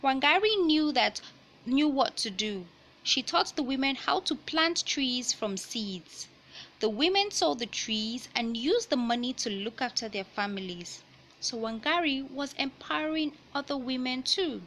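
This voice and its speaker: A woman reading a children's picture book aloud in a deliberate, teacherly way, pausing to explain and repeat key points. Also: sits high, expressive, a bit bright, a little hiss or hum, low-quality recording. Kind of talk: read-aloud storytelling with explanatory asides.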